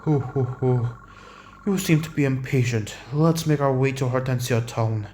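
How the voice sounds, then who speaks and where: An actor voicing a jolly old cookie sage who talks in a calm, measured way with unhurried chuckles, in an animated fantasy fandub. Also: mid-range voice, a little hiss or hum, lightly acted. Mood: calm, amused. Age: older. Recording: voice over background music.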